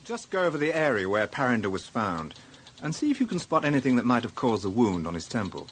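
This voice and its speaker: A man's voice: medium pitch, very animated, fast, slight background noise, natural voice. A British actor playing a dry, sardonic police inspector who narrates his own case in a radio drama. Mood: businesslike.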